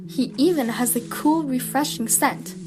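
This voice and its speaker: A gloomy, guarded schoolgirl played by a woman in an audio drama. Her voice is fairly high, restrained and earnest, with soft music underneath. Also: moderate pace, natural voice, low-quality recording. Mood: matter-of-fact. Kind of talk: audio drama acting with inner narration.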